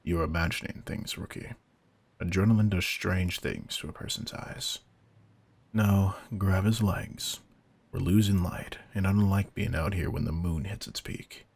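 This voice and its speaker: A man playing a veteran vampire hunter in an audio drama, a controlled, intense mentor who speaks in a deliberate, commanding, quietly menacing way. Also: sits low, full character voice. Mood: tense, guarded. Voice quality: gravelly, husky. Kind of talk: scripted roleplay audio drama.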